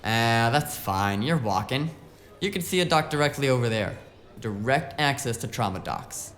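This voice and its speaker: A man's voice: medium pitch, expressive, moderate pace, fairly bright tone, soft background music, natural voice. A man playing a wisecracking, streetwise hacker sidekick in a cyberpunk audio drama, talking in a casual, slangy, flippant way. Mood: breezy.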